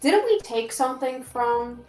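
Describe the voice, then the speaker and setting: A woman's voice: high-pitched, lively intonation, a little slow, darkish tone. Woman asking stuff kinda unsure, echoey room, little bit of music under. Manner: tentative, questioning. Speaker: player in tabletop game. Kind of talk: casual chat.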